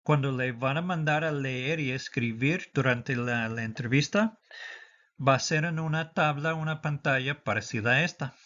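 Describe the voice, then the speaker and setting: A man's voice: mid-range voice, moderate pace, fairly bright tone, muffled recording. A man teaching a lesson for the citizenship test, dictating patiently and switching between Spanish and English.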